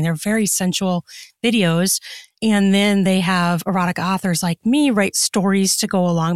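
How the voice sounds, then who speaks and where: A woman's voice: mid-range voice, no echo. Chatty woman guest, dry close sound. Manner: chatty, explanatory, rambling. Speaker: podcast guest, erotic fiction author and podcaster. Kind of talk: casual interview chat.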